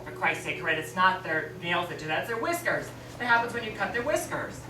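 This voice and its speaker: A woman's voice: lowish voice, big pitch swings, a little fast. Woman acting as a bossy theatrical drag queen, sarcastic, echoey room, distant mic, noisy background. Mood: grumbling. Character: vain, sharp-tongued drag queen, adult. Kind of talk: stage drama acting.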